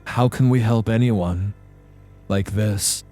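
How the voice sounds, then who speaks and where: A man's voice: on the low side, takes it slowly, dark tone, faint music behind. A man playing a weary volunteer at a failing rural clinic in an audio story. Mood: despairing. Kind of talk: full-cast audio story acting.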